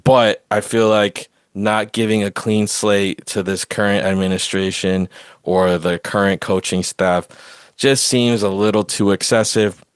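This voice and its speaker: This is a man with a low voice, recorded close and dry. He hosts a casual sports podcast and gives his opinions in a rambling, conversational flow. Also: clear, resonant tone, no room sound. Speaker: podcast host.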